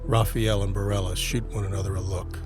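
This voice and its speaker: A man narrating a scripted crime-horror audio drama in a measured, deliberate storytelling manner. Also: lowish voice, little pitch movement, over loud music.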